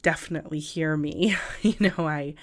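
A woman podcast host talking casually and informally on her own, her voice raspy and hoarse from a cold, in a halting way. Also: low-pitched. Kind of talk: casual solo podcast talk. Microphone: cough drops in mouth, podcast recording.

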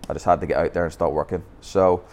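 A man's voice: deep voice, fairly even intonation, fast, darkish tone, a little hiss or hum. A man with a Northern Irish accent telling his coaching story in a podcast interview, candid, with frequent restarts. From Northern Ireland. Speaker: youth football academy founder. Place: Bangkok.

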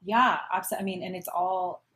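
A woman's voice: fairly low pitch, steady tone, moderate pace, fairly bright tone. A young adult woman, a classically trained opera singer, chatting casually and often stopping mid-sentence to restart. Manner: chatty, self-interrupting, laughs often. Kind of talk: casual chat.

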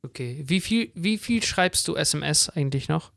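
A man's voice: on the high side, expressive, moderate pace, creaky, fairly bright tone, dry recording, clean studio sound. A man co-hosting a podcast, chatting informally and flippantly with English slang thrown in. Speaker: podcast co-host. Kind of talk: casual chat.

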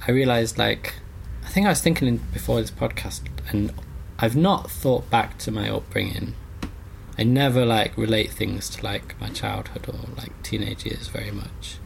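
A man chats reflectively as an interview guest, rambling and halting as he goes. His voice has a gravelly fry to it. There is a light layer of background noise behind him. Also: on the low side. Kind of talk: casual reflective conversation.

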